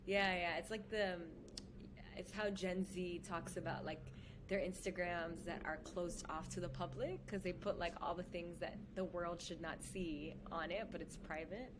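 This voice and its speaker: A woman guest on a podcast video call, talking in a lively, casual way with lots of fillers. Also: lowish voice, noisy background. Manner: animated, fast, lots of "like". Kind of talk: casual conversation. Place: indoor room at home. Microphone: headphones on a video call.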